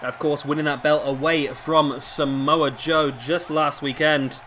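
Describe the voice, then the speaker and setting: A hoarse man with a sore throat giving casual play-by-play commentary as host of a wrestling game video. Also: on the high side, fairly even intonation, a little slow. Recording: clearly noisy home recording.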